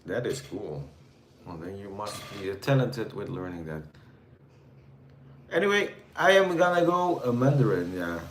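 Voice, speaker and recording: A man from the Netherlands with a Dutch accent, chatting casually with viewers on a livestream in a relaxed, rambling way while reading their comments. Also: lowish voice, normal speed, roomy sound, slight background noise. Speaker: livestream host. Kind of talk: casual live chat answering viewers.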